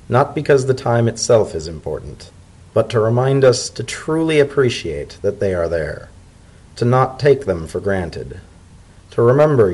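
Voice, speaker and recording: An earnest pony statesman voiced by a man speaks in formal, measured phrases. His low, husky voice rises and falls expressively, thoughtful and courteous, as in a dramatic storybook reading. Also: a little mellow, low-quality recording. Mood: tender, reflective. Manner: formal, measured, sincere speech. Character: earnest, polite male pony civil servant, thoughtful diplomat.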